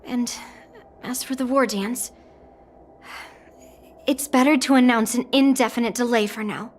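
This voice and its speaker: A woman's voice: fairly high pitch, fairly even intonation, measured pace, a little hiss or hum, lightly acted. A woman voicing an earnest, outspoken young granddaughter of a general in a video game. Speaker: voice actor. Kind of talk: scripted video game acting.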